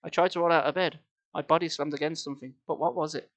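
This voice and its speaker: A man's voice: on the high side, fairly even intonation, quick pace, a bit bright. A man reading a story aloud on a live stream, theatrical and playful, with casual asides.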